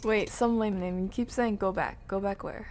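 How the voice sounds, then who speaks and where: A woman's voice: mid-range voice, fairly even intonation, moderate pace, darkish tone, a little hiss or hum. A woman streamer giving casual live gameplay commentary, chatting to herself and her viewers in slangy asides. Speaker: video game streamer.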